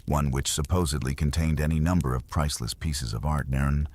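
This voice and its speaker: A man narrating history in an unhurried, conversational way, as if explaining to a listener. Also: extremely low pitch, steady tone, a little mellow, no room sound. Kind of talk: documentary history storytelling.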